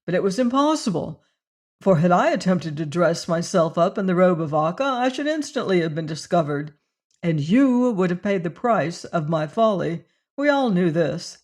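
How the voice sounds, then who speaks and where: Narrator reading a stern proud young man, loud and firm, quick to anger; storybook hero. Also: medium pitch, lightly acted. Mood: angry. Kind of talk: audiobook character voice.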